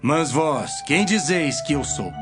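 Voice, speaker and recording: A grave, solemn teacher from an audio Bible drama , acted by a man. The voice is a slightly high male one, deliberate and declamatory, with music clearly playing behind it. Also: lively intonation. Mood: solemn, questioning.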